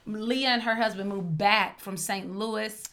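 A woman from Oklahoma hosting a podcast, upbeat and expressive in casual chat. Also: mid-range voice, measured pace. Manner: upbeat, expressive, says "honey". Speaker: podcast host.

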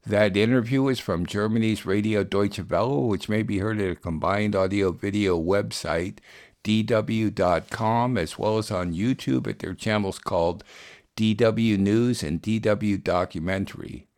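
A man's voice: on the low side, measured pace, a little mellow. A man reading scripted narration as the host of a radio programme.

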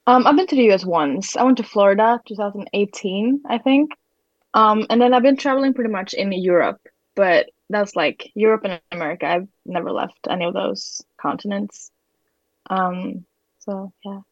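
A woman's voice: mid-range voice, normal speed, no room sound, highs cut off. A teenage woman from Sweden with a Swedish accent, chatting casually and hesitantly as a podcast guest. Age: teens. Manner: hesitant, frequent "like". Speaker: podcast guest, exchange student. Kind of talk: casual chat.

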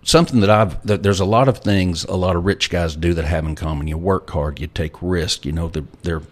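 A man's voice: sits low, a little fast. A middle-aged man as an interview guest, telling folksy stories and handing out life advice. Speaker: guest entrepreneur. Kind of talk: casual storytelling interview.

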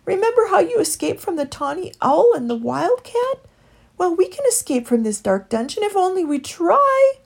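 A woman's voice: lowish voice, very animated, a little slow, husky, heavily acted. Woman voicing a brave, earnest little rat. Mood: pleading. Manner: earnest, urging. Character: brave, encouraging, practical male rat companion.

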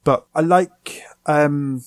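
A man hosting a casual podcast, giggly and rambling, his voice going hoarse. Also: on the high side, extremely slow, over music. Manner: giggly, rambling, self-interrupting. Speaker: podcast host. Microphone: home microphone.

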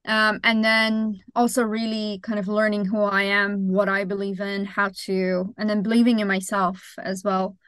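A woman from Iran in her thirties, a startup founder answering interview questions thoughtfully, with frequent pauses and self-corrections. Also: medium pitch, level tone, fairly bright tone, smooth, dry recording.

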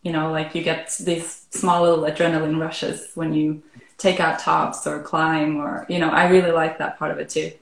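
A woman from Norway chatting casually as an interview guest, relaxed and full of "like" and "um", heard over a remote call. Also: low-pitched, moderate pace, creaky, echoey room. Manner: relaxed, frequent "like" and "um". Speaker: arborist guest.

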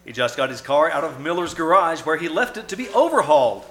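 Animated high-pitched man, old-time radio announcer. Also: fast. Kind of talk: 1940s radio announcing, scripted narration. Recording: live show with loud music and crowd. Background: loud music and audience.

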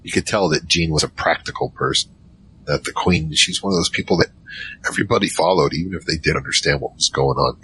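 A man acting a very deep-voiced, wary narrator. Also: fast talker, background noise. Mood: wry storytelling. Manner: conversational, rambling, wry. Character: wary, rambling male team member recounting a mission. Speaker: narrator and lead character. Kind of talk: first-person audio drama narration.

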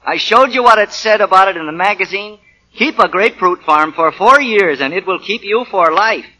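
An actor playing an eager, gullible young husband with a flustered, stammering, boyish manner, in the style of 1940s radio comedy. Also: male voice, high-pitched, moderate pace, bright, forceful tone, heavily acted. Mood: defensive, hopeful. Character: eager, gullible young husband full of get-rich schemes. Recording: dull lo-fi 1940 radio recording.

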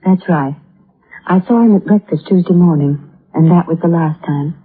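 An actress in a 1950s radio drama playing a reserved, worried, middle-aged well-to-do wife, speaking in a guarded, formal, hesitant way. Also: female voice, on the low side, normal speed, husky. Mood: uneasy, worried. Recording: muffled, narrow-band old radio broadcast.

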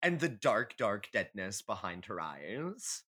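A man's voice: on the low side, big pitch swings, takes it slowly, pushed and bright, husky. A man co-hosting a casual comedy podcast, chatting in a catty, theatrical and emphatic way with plenty of swearing. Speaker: podcast co-host.

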